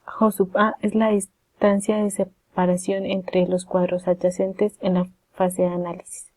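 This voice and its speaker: Flat-toned woman narrating a lesson. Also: fairly low pitch, a little slow, no room sound. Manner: formal, methodical, reading step by step. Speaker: presenter explaining signal processing.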